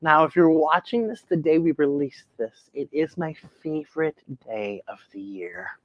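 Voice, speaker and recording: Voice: mid-range voice, animated, moderate pace, no echo, highs cut off. A children's show host talking to young viewers in a theatrical, emphatic storytelling way, drawing out words.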